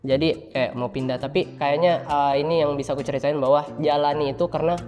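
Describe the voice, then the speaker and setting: A man's voice: a little high, fairly even intonation, quick pace, a little mellow. A man, explaining things informally as a student guest in an interview, with hesitant phrasing. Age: 20s. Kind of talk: casual interview answers. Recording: reverberant room with faint background music. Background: faint music.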